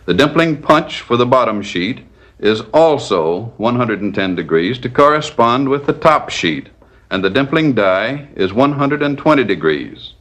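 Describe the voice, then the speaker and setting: A man narrating a scripted 1940s instructional film in a measured, didactic, step-by-step manner. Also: medium pitch, very animated, a bit bright.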